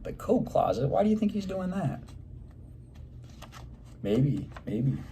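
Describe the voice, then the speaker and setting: Expressive man reading to children, mellow voice. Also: on the high side, slow, creaky, slight background noise. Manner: expressive, prompting listeners with questions. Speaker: teacher reading aloud. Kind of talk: animated children's read-aloud with questions to listeners.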